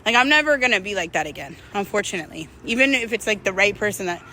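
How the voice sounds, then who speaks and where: Bright-voiced young woman, candid and chatty. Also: mid-range voice, fast talker, a little hiss or hum, poor audio. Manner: conversational, frank, swearing, expressive. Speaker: vlogger. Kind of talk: candid personal storytelling to camera.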